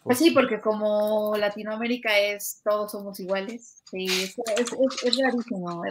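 A woman, a podcast co-host who jumps in with quick quips. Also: medium pitch, steady tone. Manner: quick quips, laughing asides. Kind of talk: casual chat. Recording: clear background noise.

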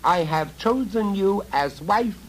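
Storybook goblin played by a male reader: small, ugly, high-pitched, theatrically wheedling and bossy, vintage noisy LP. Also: lively intonation, slow pace. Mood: commanding, wheedling. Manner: calling out, coaxing, theatrical. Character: hideous little male goblin, commanding, falsely fatherly suitor.

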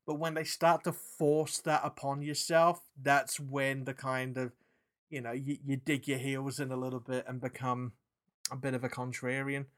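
A man with a British accent mixed with New Zealand and South African traces, co-hosting a podcast in animated, sweary casual chat. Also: medium pitch, moderate pace. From England. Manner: animated, swearing, trailing restarts. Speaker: podcast co-host.

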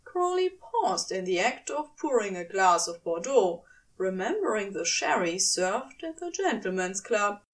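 A woman reading a story aloud as expressive scripted narration, dramatic and deliberate with pauses for emphasis. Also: mid-range voice, distant mic. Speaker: fan fiction audiobook reader.

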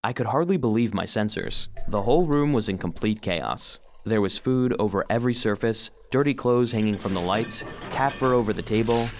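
A fairly low voice over soft music, an earnest little household robot, played by a man. He narrates his own children's story with expressive, heartfelt feeling. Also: normal speed, hardly any pauses, close to an everyday voice. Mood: tense, wondering. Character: earnest, sensitive vacuum-cleaner robot telling his story. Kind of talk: scripted first-person storybook narration for children.

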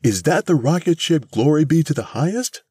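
Fierce elderly woman, acted by a male narrator, storybook. Raised pitch, husky, indignant and demanding. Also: sing-song, measured pace, dry recording, studio quality, heavily acted. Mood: appalled, indignant. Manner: forceful, demanding, crying out. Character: fierce, indignant old lady demanding answers.

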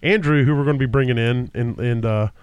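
A man's voice: mid-range voice, quick pace, clear, resonant tone, no echo, muffled recording. Stuffy-nosed man rambling through podcast intros. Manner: rambling with frequent fillers and restarts. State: stuffy nose from a cold. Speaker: podcast host. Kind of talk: casual chat. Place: home basement. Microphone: podcast microphone.

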